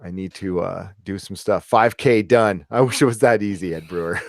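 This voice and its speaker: A man's voice: on the low side, animated, moderate pace, vocal fry, no echo, clean studio sound. Laughing, profane man in his forties. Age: 40s. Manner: laughs often, loose and profane. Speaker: podcast host. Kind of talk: casual chat.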